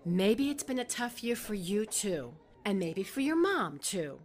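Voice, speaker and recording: A woman's voice: medium pitch, very animated, measured pace, darkish tone. An actress playing a concerned middle-aged mother, firm and coaxing, in a naturalistic web drama. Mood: weary, concerned.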